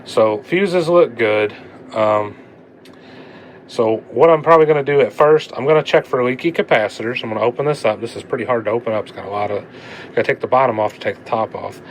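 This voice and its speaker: A man with a medium-pitched voice talks casually through a hands-on repair job. He thinks aloud in an informal, folksy way. Noticeable noise sits behind his voice. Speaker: hobbyist repair vlogger. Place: indoors at a repair workbench. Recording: clear steady background noise.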